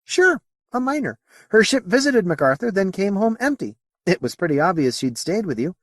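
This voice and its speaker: Man voicing a high, playful female alien. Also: sing-song, normal speed, fairly bright tone, no echo, full character voice. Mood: matter-of-fact. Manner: chatty, teasing, candid. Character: playful, candid female alien mimicking a young officer.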